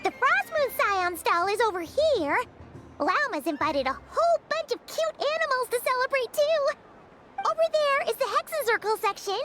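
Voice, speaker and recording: A woman voicing a small, chirpy, bossy childlike girl companion in a video game, squeaky and eager. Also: very animated, normal speed, music in the background. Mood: chatty, encouraging.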